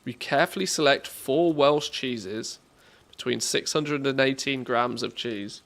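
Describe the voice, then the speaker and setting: A man's voice: mid-range voice, measured pace, gravelly, a bit bright. Casual English man chatting on stream. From England, born in the northwest, lived mostly in the Midlands. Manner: jokey, self-deprecating. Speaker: game livestreamer. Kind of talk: casual livestream chat.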